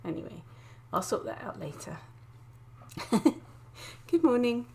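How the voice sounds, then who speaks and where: A woman from England, chatting casually to viewers on a live stream from her desk, croaky and sniffly with a cold, often laughing. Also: fairly high pitch, big pitch swings, unhurried, a little mellow, a little hiss or hum. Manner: chatty, giggly, greets viewers by name. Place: home desk indoors.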